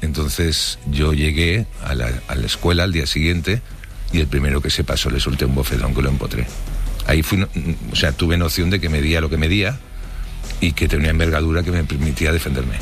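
Low-voiced middle-aged Spanish man reminiscing. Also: faint music behind. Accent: Spanish from Spain. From Barcelona, Spain. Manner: colloquial, halting, reflective. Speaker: interviewee telling his life story. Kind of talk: casual spoken recollection.

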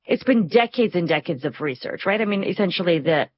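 A woman interviews in a conversational way, with a slightly husky voice. The sound is dry and a bit dull. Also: fairly low pitch, animated, a little fast, no echo. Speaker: interviewer, host.

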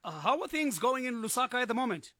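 A male radio host interviewing a guest live, who talks haltingly with many filler sounds. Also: very high voice, expressive, fairly bright tone.

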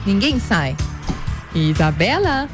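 Female co-anchor from Brazil, cheerful and chatty, lively pitch, clear music bed. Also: slow. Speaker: news co-anchor. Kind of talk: live sports news anchoring.

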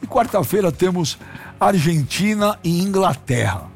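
High-swinging, boisterous Brazilian sports host. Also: male voice, lively intonation, unhurried, gravelly, breathy edge, soft background music. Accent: Brazilian Portuguese. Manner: boisterous, teasing, slangy. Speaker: host of a football talk show. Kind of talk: lively sports banter.

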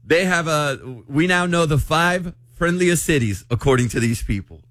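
A man's voice: a little high, moderate pace, fairly bright tone, no room sound. An American man hosting a show, bantering with co-hosts in a playful, joking way. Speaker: radio show host.

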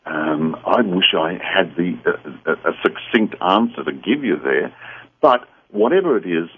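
A man with an Australian accent and a husky voice, speaking earnestly as a guest on a radio talk show. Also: low-pitched, animated, measured pace, slight background noise. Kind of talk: earnest interview conversation. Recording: dull phone-like radio line.

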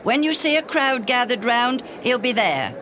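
A woman voicing a brisk, folksy man giving directions, in a story reading. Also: fairly high pitch, lively intonation, noisy background, pitched above the performer's own voice, natural voice. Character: helpful stranger man in a crowd.

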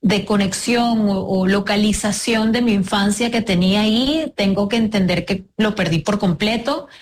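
A woman's voice: mid-range voice, moderate pace. Venezuelan woman interviewee, some background noise. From La Guaira, Venezuela. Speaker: interview guest, disaster survivor. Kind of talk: reflective personal testimony in an interview.

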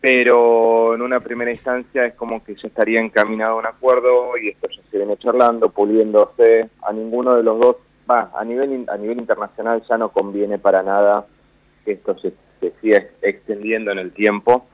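A man's voice: on the low side, level tone, a little fast, no room sound, narrow, tinny audio. A man from Buenos Aires with a Rioplatense accent and a slight northern lilt, giving finance commentary on the radio, rambling and restarting often. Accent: Rioplatense Spanish with a slight northern Argentine lilt. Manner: rambling, with frequent restarts and fillers.

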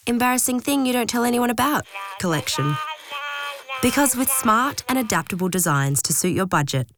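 A woman voicing a radio advertisement with a wry, playful delivery and a very lively, swinging pitch. Loud music runs under her the whole time. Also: normal speed. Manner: playful, tongue-in-cheek. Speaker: advertisement voice-over. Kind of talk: scripted ad read.

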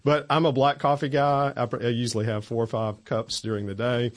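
An older man from Tennessee with a bass voice, chatting in a relaxed, folksy way as an interview guest. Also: brisk. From Tennessee, USA. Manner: relaxed, folksy, good-humored. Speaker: guest, newspaper columnist. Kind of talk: casual interview chat.

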